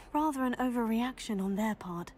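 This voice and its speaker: A woman playing a polite, helpful teenage witch student in a video game, with a British accent and a measured, curious manner. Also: on the high side, expressive, darkish tone, a little hiss or hum, natural voice. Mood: polite, concerned. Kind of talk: video game character dialogue.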